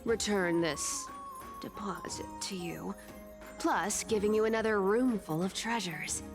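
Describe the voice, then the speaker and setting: A woman's voice: mid-range voice, measured pace, over music, natural voice. An actress voicing a sly, greedy female thief demigod in a video game, teasing and theatrical. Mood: cocky, bargaining. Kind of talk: video game character acting.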